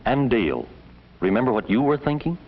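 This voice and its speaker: A man narrating a 1950s educational film as a stern, measured voice reproachfully addressing schoolboys. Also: mid-range voice, sing-song. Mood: grave, reproachful. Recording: dull vintage 1950s film soundtrack.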